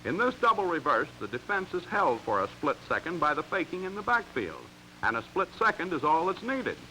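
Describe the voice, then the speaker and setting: A man's voice: fairly high pitch, very animated, fairly quick, sharp tone, a little hiss or hum, low-quality recording. An American man reading scripted narration for a 1940s sports training film in an emphatic, instructional way, on a vintage soundtrack. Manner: crisp, emphatic, instructional delivery.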